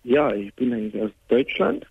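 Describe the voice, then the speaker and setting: A man in his twenties calling a radio phone-in, subdued and grieving, speaking haltingly with many restarts. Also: medium pitch, very animated, gravelly, breathy edge, no room sound. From Germany. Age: 20s. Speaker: caller. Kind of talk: call-in radio conversation. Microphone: telephone. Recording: tinny bad phone line.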